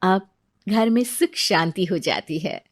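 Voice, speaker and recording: A woman's voice: fairly low pitch, slow, no room sound, studio quality. A woman narrating a scripted moral story as a storyteller.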